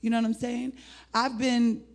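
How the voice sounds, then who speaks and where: A middle-aged woman with an American accent and a husky, gravelly voice, preaching an informal, rambling sermon in an animated, folksy way. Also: fairly high pitch, normal speed. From United States (Indianapolis, Indiana). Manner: animated, rambling, folksy, breaking into laughter and exclamations. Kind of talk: informal sermon with personal testimony.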